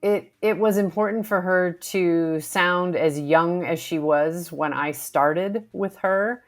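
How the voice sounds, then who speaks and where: A middle-aged woman voice actor reflecting on her work in an interview, in a deliberate way. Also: fairly low pitch. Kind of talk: interview recollection.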